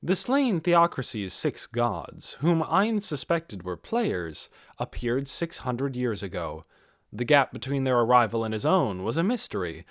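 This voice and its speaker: Guy reading a fantasy audiobook, pitch moves around a lot, really dry close sound. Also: dry recording. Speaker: audiobook reader. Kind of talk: scripted fantasy audiobook narration.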